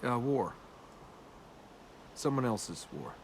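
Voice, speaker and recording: A roguish young tomb robber from a video game. He talks casually and wryly, guarded and cynical, in a medium-pitched voice. Game ambience runs noticeably behind him. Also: expressive, slow, a little hiss or hum. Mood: subdued. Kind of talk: naturalistic video game dialogue.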